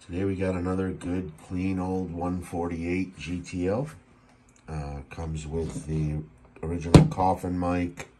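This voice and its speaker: A middle-aged man with a low-pitched voice walks viewers through a piece of gear in a casual seller's demo. He is recorded indoors on a handheld phone, with clear background noise. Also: level tone, unhurried. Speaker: radio seller and restorer demonstrating a CB radio. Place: small indoor room at a workbench.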